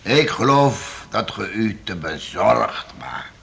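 A man's voice: lowish voice, lively intonation, slow, gravelly, exaggerated voice. A man playing a genial older nobleman in a radio play, reassuring and unworried, with old-fashioned formal phrasing. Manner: archaic formal address, coaxing and dismissive of worry. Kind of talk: Dutch radio play acting.

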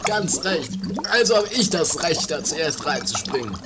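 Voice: mid-range voice, big pitch swings, measured pace, heavy background noise. A performer playing a self-important, blustering rich man in an improvised trashy comedy radio play. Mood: pompous. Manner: pompous, insistent. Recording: music under amateur 1980s radio play.

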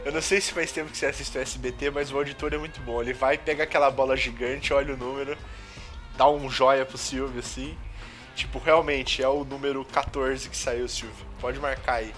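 A man's voice: medium pitch, fairly even intonation, a little fast, fairly bright tone, soft background music. A man with a Brazilian accent bantering in casual slang as a jokey show co-host. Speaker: podcast co-host.

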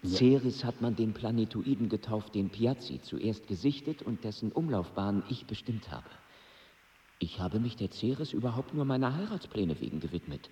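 An actor playing a proud, blunt young mathematician and astronomer in a radio drama. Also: male voice, fairly low pitch, animated, normal speed, dark tone, slight background noise. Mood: blunt, impatient. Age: young adult. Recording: echoey hall, distant, music behind.

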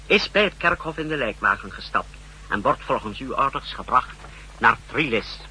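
A man's voice: mid-range voice, lively intonation, moderate pace, sharp tone. An actor playing a zealous secret service subordinate, reporting formally and deferentially to his chief in a 1960s radio drama. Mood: brisk, formal. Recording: faintly noisy 1960s radio recording.

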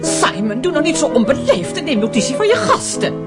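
A woman's voice: high-pitched, very animated, brisk, over loud music. A woman playing a chatty, fussy, well-bred wife in a radio drama, effusive and easily flustered.

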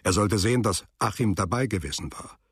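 Very deep measured male narrator. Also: animated, husky, dry recording, clean studio sound. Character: sober male narrator recounting a traveller's return. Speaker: narrator of a radio play. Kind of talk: scripted literary narration.

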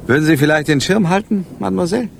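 An actor playing a fervent, morbidly romantic gentleman in a theatrical radio crime drama. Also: on the high side, very fast, vocal fry, slight background noise. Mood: eager, gallant. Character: lovesick, morbidly romantic gentleman of 1917 Paris.

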